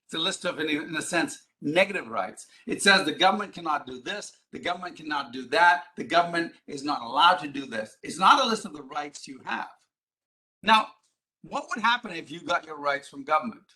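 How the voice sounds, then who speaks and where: A man delivers a live lecture in a fairly high, bright, forceful voice. He explains his points emphatically and leans on rhetorical questions to lead listeners along. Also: lively intonation, a little fast. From Ghana, Middle East and East Africa. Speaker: public speaker, advocacy group president.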